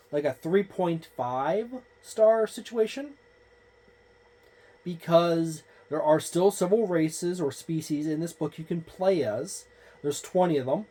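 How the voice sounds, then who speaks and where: A man's voice: on the high side, mellow. A man giving an unscripted review of a tabletop game book, meandering and thinking aloud as he goes.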